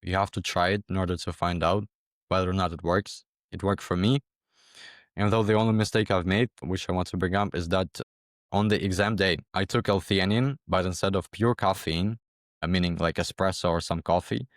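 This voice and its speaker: Low-voiced young man from Ukraine. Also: steady tone, normal speed, no echo, studio quality. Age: young adult. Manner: hesitant, repeats words, many fillers. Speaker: interview guest, former exam candidate. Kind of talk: casual interview talk.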